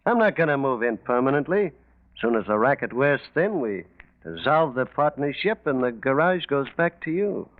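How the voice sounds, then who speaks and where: A man's voice: medium pitch, big pitch swings, normal speed, lightly acted. An actor playing a sly, cocky male racketeer with glib, slangy, street-tough talk in a 1940s radio mystery. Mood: smooth, coaxing. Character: slick small-time crook, a sly, cocky male racketeer. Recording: muffled lo-fi 1940s radio recording.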